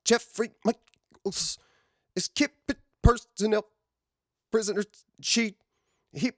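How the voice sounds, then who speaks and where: Audiobook role: distressed female scientist performed by a man, high, halting, pleading, phrases broken by strain. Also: no room sound, studio quality. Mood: desperate, strained. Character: distressed woman geologist sending a broken distress call.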